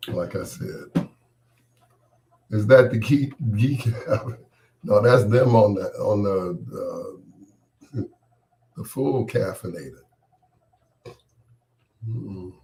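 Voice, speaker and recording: A man hosting an on-air monologue, talking with a cold and a partly lost voice, irritated and dismissive, his words often trailing off quietly. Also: on the low side, very slow, vocal fry, long pauses, a little hiss or hum, rough recording. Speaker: host.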